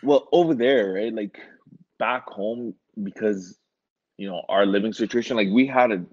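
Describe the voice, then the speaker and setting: A man from Iran, a guest in a casual interview, telling his story in a relaxed, rambling way with lots of filler words. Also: fairly low pitch, normal speed. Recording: dull lo-fi sound.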